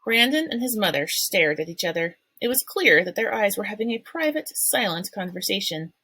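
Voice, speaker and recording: A woman reading a children's novel aloud chapter by chapter, in steady, unhurried storytelling. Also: medium pitch, husky, no echo. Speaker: read-aloud narrator.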